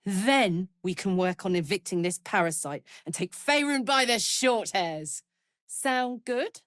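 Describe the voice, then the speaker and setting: Brash British warrior, close and dry. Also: female voice, medium pitch, expressive, a little slow, a bit bright, dry recording. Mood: eager, rallying. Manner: blunt, earthy, sweary. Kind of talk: fantasy video game voice acting.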